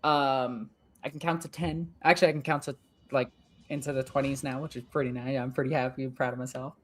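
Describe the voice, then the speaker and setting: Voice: mid-range voice, fairly even intonation, fairly quick, fairly bright tone. A young adult gaming streamer chatting casually and rambling while playing a strategy game, swearing now and then.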